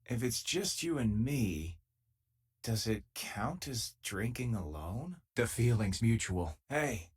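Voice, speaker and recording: A man voicing an easygoing, wry spacefaring crewmate in a video game, with a husky voice and a casual, conversational delivery. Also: mid-range voice, big pitch swings, slow pace, full character voice. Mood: dry, easygoing. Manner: casual, conversational, dryly joking. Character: easygoing, wry male spacefaring crewmate, loyal and self-deprecating. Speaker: game companion character. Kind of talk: video game voice lines, naturalistic acting.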